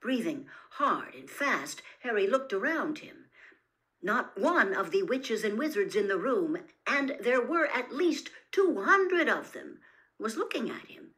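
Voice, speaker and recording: A woman narrating a storybook expressively and dramatically, dropping into hushed, suspenseful phrasing. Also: a little high. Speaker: audiobook-style reader of stories.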